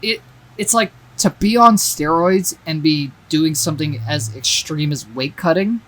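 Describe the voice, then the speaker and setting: A man's voice: fairly high pitch, measured pace, fairly bright tone, rough recording. A young man co-hosting a casual podcast chat, joking around, swearing freely and laughing often. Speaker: podcast co-host.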